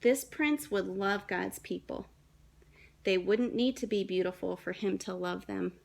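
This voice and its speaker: Young woman doing a kids storybook read-aloud, dramatic careful phrasing, medium pitch. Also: vocal fry. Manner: dramatic, deliberate phrasing with hushed and emphatic moments. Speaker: children's story reader.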